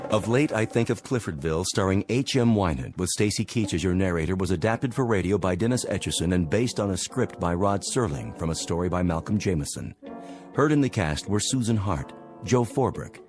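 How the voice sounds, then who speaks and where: A man's voice: low-pitched, animated, a little fast, darkish tone, faint music behind. A man announcing programme credits in a formal, scripted broadcast style.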